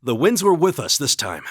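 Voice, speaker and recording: A man voicing a calm, devoted young academy student warrior in a video game, speaking in a measured, sincere and formal way. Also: fairly high pitch, husky, no room sound, studio quality, heavily acted. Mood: friendly. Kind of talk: English video game voice acting.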